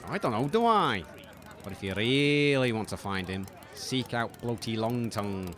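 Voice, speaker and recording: A man playing a shifty, grudging wasteland market trader in a comic audio drama, gruff and dismissive. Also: medium pitch, expressive, normal speed, fairly bright tone, close to an everyday voice. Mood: knowing, dismissive. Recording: busy outdoor crowd and vehicle sounds. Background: street crowd bustle.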